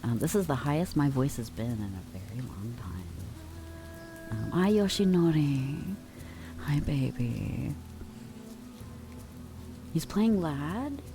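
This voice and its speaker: Young woman streamer, ASMR chat: very deep and gravelly, slow and unhurried, gentle whispery asides, soft music underneath. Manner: relaxed, affectionate, reads and answers chat; drifts between soft talk and whispers.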